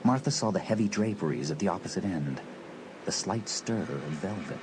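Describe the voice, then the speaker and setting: A man's voice: low-pitched, expressive, normal speed. A man narrating a horror story for a dramatised audiobook, reading scripted prose. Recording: audiobook tape with faint noise.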